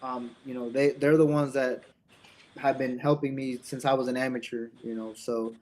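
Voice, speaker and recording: A man's voice: mid-range voice, steady tone, moderate pace, darkish tone. A young man raised in Indiana with an American accent, talking casually as an interview guest and acting out bits of dialogue as he tells stories. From born in Mexico, raised in Indiana, United States.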